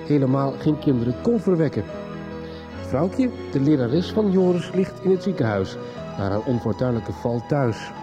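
Clear music plays under a mid-pitched man. He reads a scripted radio serial recap as its announcer. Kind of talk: scripted radio soap narration.

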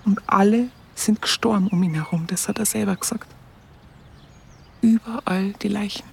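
An actress playing a tender, smitten woman who recalls her lover in a soft, breathy, confiding voice. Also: mid-range voice, steady tone, normal speed, slight background noise, lightly acted. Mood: tender, wistful. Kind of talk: intimate radio play monologue. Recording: studio radio drama with soft music.

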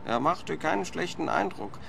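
Whiny well-off landlord, fussy and evasive, very up and down delivery, slight background noise. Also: male voice, a little high, lively intonation, moderate pace, vocal fry, fairly bright tone, exaggerated voice. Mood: evasive, defensive. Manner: fussy, plaintive, self-justifying. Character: fretful, evasive wealthy landlord, thin-skinned former boss. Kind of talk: radio play acting.

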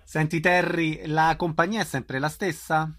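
A man's voice: fairly high pitch, level tone, unhurried, a bit bright. A man working as a radio interviewer, asking questions in a friendly, informal style.

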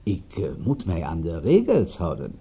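A man playing a courteous French police inspector in a radio drama, with a French accent and halting, polite speech. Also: sits low, huge pitch swings, dark tone, slight background noise, full character voice. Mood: apologetic, evasive. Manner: halting, polite speech with inverted word order.

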